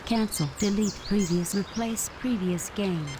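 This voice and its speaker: A woman's voice: mid-range voice, unhurried, mellow, a little hiss or hum, close to an everyday voice. A woman playing an impersonal game narrator, reading scripted descriptions and menu prompts. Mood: flat, neutral.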